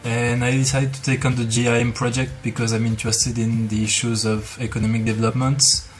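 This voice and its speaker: A man's voice: medium pitch, level tone, fairly quick, soft background music. A man in his twenties from France introducing himself as a student. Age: 20s. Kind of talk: self-introduction.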